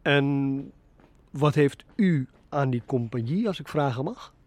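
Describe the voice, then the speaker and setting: A man's voice: mid-range voice, slow pace, darkish tone, no room sound, natural voice. An actor playing a wary slave-ship trader in a historical radio play, formal and skeptical before his prince. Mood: wary, deferential. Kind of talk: audio drama acting.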